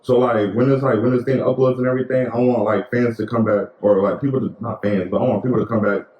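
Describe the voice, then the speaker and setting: A man's voice: medium pitch, fairly even intonation, quick pace, reverberant, lo-fi sound, slight background noise. A young man co-hosting a podcast in casual, rambling chat full of slang and fillers. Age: young adult. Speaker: podcast co-host.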